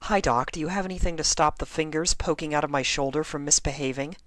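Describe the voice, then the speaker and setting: A man's voice: on the high side, quick pace, fairly bright tone, dry recording, studio quality, voice pushed up, lightly acted. A man voicing a frantic, exasperated female pony in a horror story, with emphatic outbursts. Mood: nervous.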